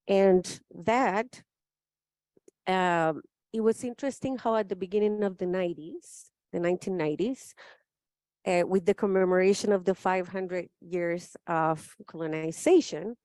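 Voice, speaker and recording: A woman's voice: fairly low pitch, a little mellow, no room sound. Woman on a panel, thoughtful and emphatic, keeps saying right?, dry close sound. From Chile. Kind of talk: spoken forum remarks.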